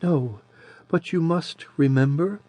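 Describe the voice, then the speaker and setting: A man's voice: fairly high pitch, slow, dry recording, pitched above the performer's own voice. A man doing Adiran, a sweet-voiced ancient crone. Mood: eerie calm. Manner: soft and quiet. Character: ancient tiny crone of a coven, sweet childish voice. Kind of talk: audiobook character voice.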